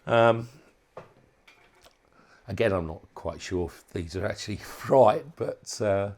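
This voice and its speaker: Male hobbyist demonstrator, lowish gravelly voice, informal and unscripted, feels his way through sentences. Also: a little mellow. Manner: halting, thinking aloud. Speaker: hobbyist presenter demonstrating a modified radio. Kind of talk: casual unscripted product walkthrough.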